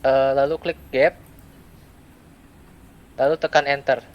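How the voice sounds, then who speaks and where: A young adult man gives a step-by-step software tutorial, speaking in short, halting phrases with pauses. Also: mid-range voice, little pitch movement, lo-fi sound. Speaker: student presenter giving a software tutorial. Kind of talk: step-by-step screen tutorial, unscripted. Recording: clear background noise.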